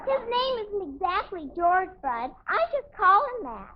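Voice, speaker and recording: Very high, pleading little girl. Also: very animated, measured pace, a faint crowd, exaggerated voice. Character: pleading, tender-hearted little girl, youngest daughter. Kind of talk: 1950s radio sitcom acting. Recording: muffled 1950s radio recording.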